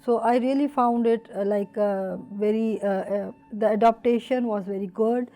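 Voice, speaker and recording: A woman from Pakistan, a doctoral student, telling her experience in an interview with many pauses and fillers. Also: fairly high pitch, steady tone, mellow. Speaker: PhD student in journalism. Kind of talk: interview testimony.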